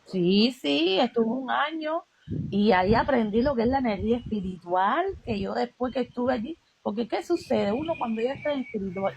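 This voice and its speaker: A woman's voice: on the high side, vocal fry, darkish tone, slightly rough, lo-fi sound. A woman from Cuba with a Cuban Spanish accent, telling personal stories in a casual spiritual chat, with fillers and repetitions. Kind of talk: casual conversation about spirituality.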